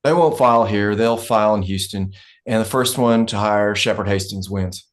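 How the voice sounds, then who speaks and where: A man acting a dry, weary oil company fixer in a script read, terse and deadpan. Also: lowish voice, normal speed, natural voice. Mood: dry, unruffled. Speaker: actor.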